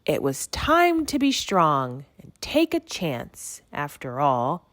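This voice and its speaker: A woman giving an expressive read-aloud narration of a rhyming children's story. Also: sits low, takes it slowly, no room sound. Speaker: storybook reader.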